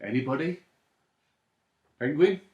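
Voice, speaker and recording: A man chatting playfully and excitably to children as a story time presenter, asking them questions, in an echoey room. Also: fairly high pitch, measured pace. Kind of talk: playful chat addressed to children.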